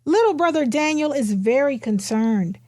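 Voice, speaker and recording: A woman voicing a quiet, matter-of-fact young kindergarten girl who tells her own storybook tale in simple, expressive phrases. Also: high-pitched, normal speed, dry recording, studio quality. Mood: earnest, matter-of-fact.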